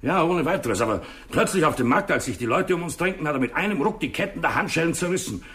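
A man's voice: fairly high pitch, lively intonation, fast, a bit bright. An actor playing an eager, dutiful small-town policeman, plain-spoken, in a 1960s German radio drama. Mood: animated recounting. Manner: plain-spoken, keen to tell.

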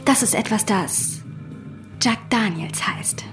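A woman's voice: medium pitch, slow, natural voice. A tough barmaid played by an actress in a radio drama, cool and teasing with a dry wit. Music plays behind her. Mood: softer, cool. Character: tough, dry-witted female barkeeper in a remote bar.

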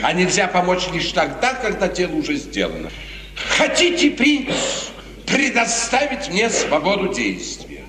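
An actor playing a scheming, cynical court adviser, insinuating and persuasive, with theatrical 1950s radio drama phrasing. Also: male voice, sits high, wildly swooping pitch, a little slow, full character voice. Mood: coaxing. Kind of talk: 1950s Russian classical radio drama acting. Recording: echoey, distant old radio play recording.

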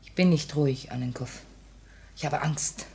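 Female revolutionary, dutiful but fearful, lowish voice, measured old radio drama delivery. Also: creaky, mellow. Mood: grave, restrained fear. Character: anxious, dutiful woman revolutionary who prepares bombs. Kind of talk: 1950 German-language radio drama acting. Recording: old 1950 radio recording.

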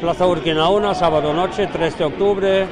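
High-voiced male reporter live in a crowd. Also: steady tone, normal speed. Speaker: radio reporter. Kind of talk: live street interviews and reporting. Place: outdoors in a busy city square. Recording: busy protest crowd outdoors. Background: crowd of protesters.

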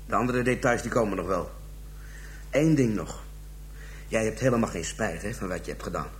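An actor in a radio drama playing a composed male detective who questions in a measured, probing way. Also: lowish voice, a little hiss or hum, natural voice. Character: composed male police interrogator, probing and unhurried.

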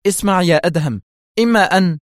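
A man voicing a hot-tempered, scheming woman crime boss in audiobook dialogue, sharp, agitated and insistent. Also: high voice, fast talker, dry recording, clean studio sound, voice pushed up, natural voice.

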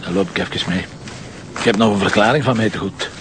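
A man's voice: lowish voice, fast talker, background noise, lightly acted. An actor playing a blunt, wry male police commissioner in a crime drama, with a Flemish accent and a terse, dry delivery. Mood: explaining. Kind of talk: naturalistic crime drama acting.

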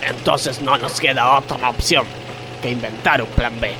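A man's voice: mid-range voice, steady tone, a little slow, background noise, lightly acted. An Argentine man playing a grandiose, slightly dim ringleader of factory workers in a comedic radio play, persuasive and conspiratorial.

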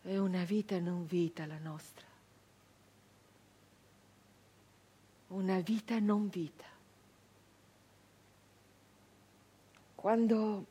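An actress playing a fierce, embittered nineteenth-century brigand woman in an intense, declamatory theatrical monologue with dramatic pauses. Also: medium pitch, slightly rough, lightly acted. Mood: hushed, bleak. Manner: intense, declamatory, with slow pauses and hushed drops. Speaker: stage actress.

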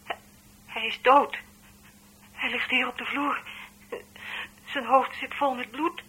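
A woman's voice: sits high, steady tone, slow pace, pushed and bright, breathy edge, exaggerated voice. An actress playing a shocked, tearful woman on the telephone in a radio drama, stammering and repeating herself as she reports a death. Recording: distant voice on telephone line.

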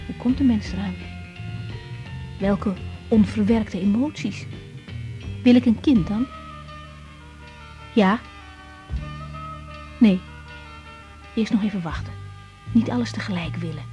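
An actress in a radio drama playing a reflective, tender young woman doctor who narrates her thoughts softly and intimately. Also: mid-range voice, slow pace, pauses often, soft background music, close to an everyday voice, rough recording. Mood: musing. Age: young adult. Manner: musing inner narration, soft and intimate. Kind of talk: 1980s radio drama acting.

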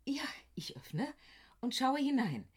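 Garrulous female usherette, lively swooping pitch, credulous and emphatic, radio drama. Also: slow pace, breathy edge, exaggerated voice. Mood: confiding, animated. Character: chatty, credulous theater box usherette, devoted to phantom. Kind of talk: German radio play acting.

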